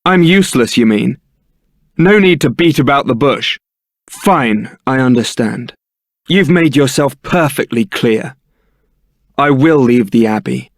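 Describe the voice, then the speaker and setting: An actor with a British accent playing a suave, roguish young templar knight in a video game, polite and teasing. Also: male voice, medium pitch, animated, measured pace, no echo, clean studio sound. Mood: bitter, resolute. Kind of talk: scripted video game character acting.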